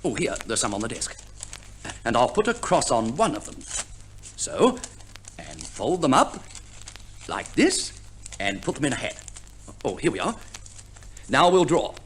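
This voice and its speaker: Melodramatic, wildly swooping husband. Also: male voice, medium pitch, wildly swooping pitch, measured pace, long pauses, fairly bright tone, exaggerated voice. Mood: scheming, smooth. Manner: melodramatic, declamatory. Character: Freddie, theatrically noble, secretly scheming husband. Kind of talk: old radio play comedy acting. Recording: old radio broadcast, faint noise.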